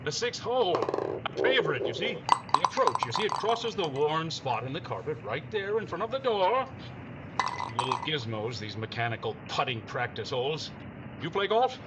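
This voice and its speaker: A man's voice: on the high side, very animated, normal speed. A man playing an easygoing, absent-minded newspaper owner who hems and repeats himself, in a 1950s radio drama. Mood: fussy, genial. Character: dithering, golf-mad male newspaper owner, easygoing. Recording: dull band-limited sound, noisy background.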